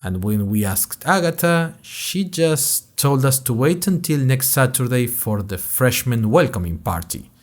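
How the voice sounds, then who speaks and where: A man's voice: medium pitch, very animated, unhurried, few pauses. Man playing an anxious, wry freshman podcaster. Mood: bemused. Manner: rambling, wry asides, self-conscious. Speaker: narrator and host of a fiction podcast. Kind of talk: scripted first-person audio drama monologue.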